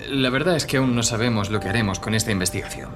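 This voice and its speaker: Formal, low-voiced FBI chief, played by a man. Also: fast, music in the background, natural voice. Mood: formal, courteous. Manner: polite, businesslike, reassuring. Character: formal, measured male FBI unit chief. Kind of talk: Spanish radio drama acting.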